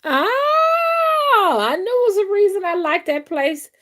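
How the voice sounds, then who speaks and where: A woman's voice: extremely high pitch, big pitch swings, slow pace, a bit bright. A woman hosting a casual spiritual live stream on her phone, rambling and repeating herself as she talks straight to her viewers and reads their comments. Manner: rambling and repetitive, addresses viewers directly.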